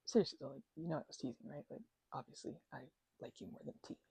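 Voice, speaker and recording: A woman with a bright, slightly low and breathy voice, recorded very dry and close. She plays an affectionate, chatty housemate in a casual ASMR roleplay. Her delivery is playful and halting, often stammering as she teases. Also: fairly even intonation, no room sound. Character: chatty affectionate female housemate recovering from migraine. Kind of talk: ASMR roleplay, one-sided casual chat.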